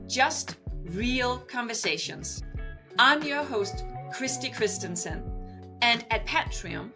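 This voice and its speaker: A woman podcast host reading a scripted show intro in a measured, deliberate way. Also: fairly high pitch. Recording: spoken over background music.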